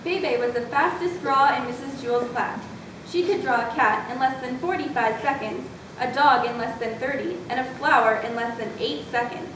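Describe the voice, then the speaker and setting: High-voiced woman narrator in echoey room. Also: moderate pace. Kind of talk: scripted story narration, amateur readers' theatre. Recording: distant, very echoey room, noisy with rumble.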